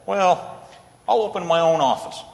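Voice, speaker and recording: A man's voice: sits high, lively intonation, unhurried, vocal fry, heavily acted. A man acting an idealistic, earnest lawyer in an old-time radio play, speaking persuasively. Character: idealistic, earnest male lawyer with stubborn faith. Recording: reverberant hall, stage radio play.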